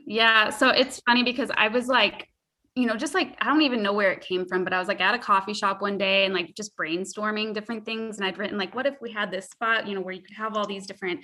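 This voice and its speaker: A woman's voice: mid-range voice, steady tone, fast talker, bright, forceful tone. A young adult woman and travel therapist talking upbeat and chatty over a video call, with frequent likes. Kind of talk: casual video-call interview.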